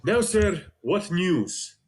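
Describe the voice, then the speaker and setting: A man with an Egyptian accent playing a cunning, commanding disguised duke in a Shakespeare scene rehearsal, delivering verse deliberately. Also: high voice, sing-song, full character voice.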